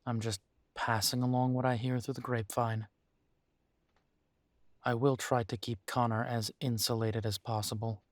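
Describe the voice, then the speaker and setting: A man playing a protective, weary but determined werewolf pack leader in an intimate roleplay audio drama, speaking deliberately with pauses. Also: medium pitch, little pitch movement, no room sound, natural voice. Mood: wary, brooding.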